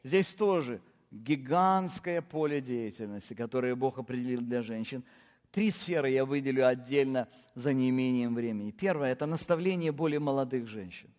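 A man's voice: mid-range voice, moderate pace, darkish tone, long reverb tail. A man, a pastor, preaching a sermon and counting off his points with firm, rising emphasis.

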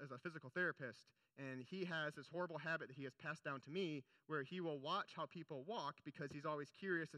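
A man's voice: fairly high pitch, pushed and bright, no echo. A young man preaching a sermon in an earnest, conversational way, with casual asides between scripture readings. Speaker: pastor.

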